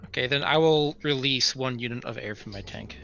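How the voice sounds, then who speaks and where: Guy playing tabletop rpg, gravelly fry in his voice but bright, just chatting. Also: mid-range voice, a little fast, muffled recording, slight background noise. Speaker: tabletop game player. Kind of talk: casual game chat.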